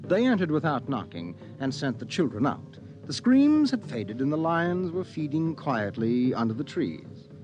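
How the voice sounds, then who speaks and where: A man's voice: fairly high pitch, sing-song, normal speed, low-quality recording. A man narrating a 1950s radio drama as a theatrical, ominous storyteller. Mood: ominous storytelling. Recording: vintage radio recording with music behind.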